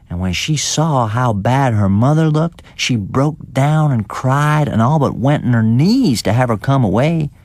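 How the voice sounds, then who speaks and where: A man's voice: on the low side, darkish tone, no echo. A man voicing an elderly, indignant village gossip with a folksy, rustic manner of speech in a dramatised story reading. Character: elderly unmarried village woman gossiping indignantly about neighbours.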